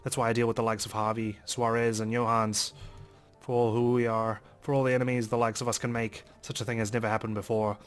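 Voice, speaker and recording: A man's voice: medium pitch, little pitch movement, quick pace, clean tone, soft background music. A man narrating a visual novel in the first person, with a theatrical, wry delivery. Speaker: let's play narrator.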